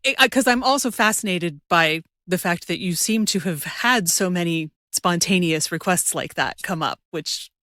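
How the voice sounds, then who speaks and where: A woman's voice: fairly low pitch, normal speed, hardly any pauses, fairly bright tone, no room sound, clean studio sound. A woman hosting a casual interview, talking in a thoughtful, tentative, conversational way. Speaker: podcast host, interviewer.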